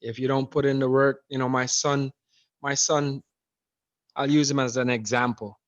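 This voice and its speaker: A man's voice: medium pitch, level tone, normal speed, no echo. A man speaking earnestly on a phone video call as host, often repeating words as he speaks. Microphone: phone held close on a video call. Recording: muffled phone-call audio.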